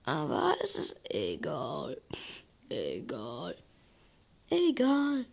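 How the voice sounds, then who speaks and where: A hoarse young woman giving casual gaming commentary, excitable and yelping at results, slipping into a raspy near-whisper when she talks quietly. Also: low voice, very slow. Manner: excitable, shrieks and groans at spin results. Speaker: gaming YouTuber.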